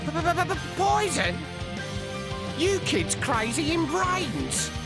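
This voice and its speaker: A woman's voice: extremely high pitch, very animated, very slow, loud music behind the voice, heavily acted. A woman voicing a tiny, indignant, spluttering fantasy creature with broken grammar in a video game. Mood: flustered.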